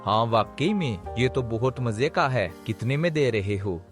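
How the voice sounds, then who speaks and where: A man's voice: medium pitch, expressive, measured pace, close to an everyday voice. A man voicing a friendly, sympathetic village neighbour in a Hindi cartoon story. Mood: friendly, interested. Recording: background music under the voice.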